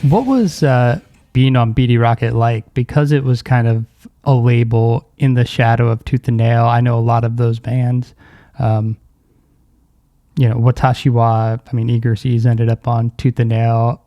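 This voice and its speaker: Mellow guy interviewing on a podcast, medium pitch, really dry close sound. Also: fairly even intonation, normal speed, no room sound. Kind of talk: casual interview questions.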